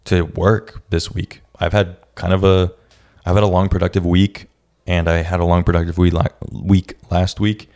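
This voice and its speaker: A young man hosting his own podcast, talking in a casual, reflective way with a raspy voice and many restarts. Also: low voice, a little mellow. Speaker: podcast host. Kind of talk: casual solo podcast talk.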